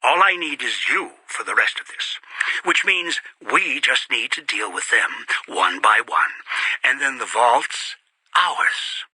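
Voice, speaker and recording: An actor playing a bitter, greedy old man in a video game, scheming and commanding with contempt in a raspy, breathy voice. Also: mid-range voice, lively intonation, slow, sharp tone, no echo, full character voice, rough recording. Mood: cold, calculating. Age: older. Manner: contemptuous, commanding, muttering to himself. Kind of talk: video game character voice acting.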